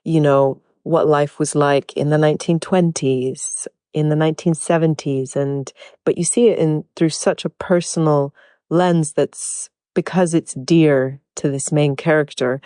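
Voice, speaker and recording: A woman from Hungary, a native Hungarian speaker, explaining her role in an interview with a thoughtful, measured manner. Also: deep voice, fairly even intonation, warm tone, clean tone, no echo, clean studio sound. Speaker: actor. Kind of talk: interview answers.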